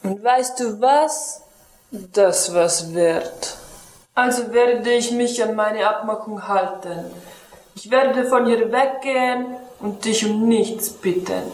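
A woman's voice: a little high, darkish tone. A young woman playing a devoted, guilt-ridden young male pilot in an amateur film parody, with a solemn, pleading delivery. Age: young adult. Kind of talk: melodramatic parody acting. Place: tiled public restroom, indoors. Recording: echoey tiled room.

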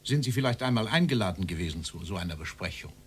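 An actor playing a stern interrogator in a documentary radio drama, formal and probing in manner. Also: male voice, low-pitched, expressive, fast talker, husky. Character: stern male interrogator questioning a Nazi-era official.

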